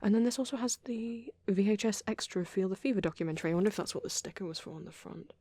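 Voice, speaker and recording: A woman voicing a shy vampire boy who rambles gently in an ASMR roleplay, soft-spoken and hesitant, slipping into breathy whispers. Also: medium pitch, fairly even intonation, fast, natural voice. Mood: shy, musing.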